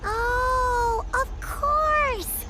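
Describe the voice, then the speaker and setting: Squeaky, tiny fairy companion, extremely high. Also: female voice, drawn-out words, very noisy, full character voice. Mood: astonished. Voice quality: squeaky, childlike. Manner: exclamatory, refers to self in third person. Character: tiny excitable childlike floating fairy companion. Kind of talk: cartoonish video game voice acting. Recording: game audio buried in loud music.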